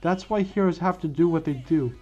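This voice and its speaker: An earnest teenage boy hero , voiced by a man, speaks in a fairly high, sincere voice, consoling and halting as in a fan-made story. Music plays behind the slightly muffled recording. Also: a little mellow, close to an everyday voice. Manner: sincere, halting speech-making. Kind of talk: fan-fiction story dialogue. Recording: dull lo-fi mic, music behind.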